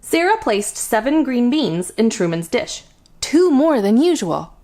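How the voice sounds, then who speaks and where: Expressive, high-pitched woman reading a children's story. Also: a little slow. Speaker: children's storytime host and reader. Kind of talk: picture-book read-aloud.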